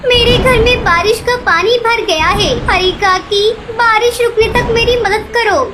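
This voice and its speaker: A very high-pitched mother bird. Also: a little slow, very noisy. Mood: pleading. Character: poor worried mother bird, pleading but kind. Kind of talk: children's cartoon story voice acting.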